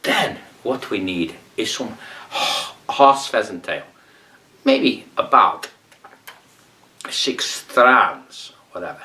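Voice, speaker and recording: A man's voice: lowish voice, very animated, extremely slow, long pauses, pushed and bright, far from the mic, exaggerated voice. A man playing a rambling Brazilian amateur fly tier in a comic tutorial, with a put-on Brazilian accent, broken English and muttered whispered asides. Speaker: fly tying demonstrator.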